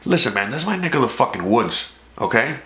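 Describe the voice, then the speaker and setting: A Cuban American man from South Florida delivers a swearing, meandering monologue to his viewers, checking in with frequent "okay?" asides. His voice is bright and sharp, at a middle pitch for a man. The room around him is echoey. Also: sing-song, brisk. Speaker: YouTube channel host. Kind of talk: casual profane monologue to camera.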